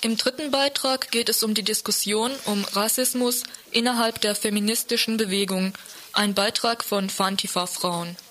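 A woman reads a prepared report for a radio news programme. Her voice is notably bright and sharp, sitting at an ordinary female pitch. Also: fairly even intonation, moderate pace. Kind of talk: scripted news report read aloud.

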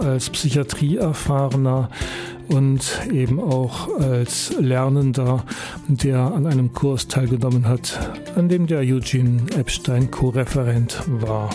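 A man hosting a community radio show, announcing in a relaxed, chatty way from the studio. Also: medium pitch. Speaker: radio host.

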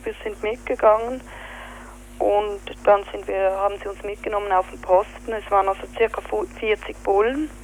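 A woman with a Swiss German accent, telling in an interview what happened, halting with fillers and searching for words. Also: mid-range voice, fairly even intonation, slight background noise, rough recording. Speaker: activist interviewee. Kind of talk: spoken account in an interview.

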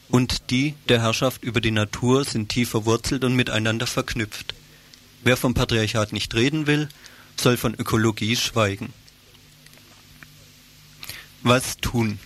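A man's voice of medium pitch reads out a scripted political commentary. He speaks formally, in measured written sentences, as a radio commentator would. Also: fairly bright tone.

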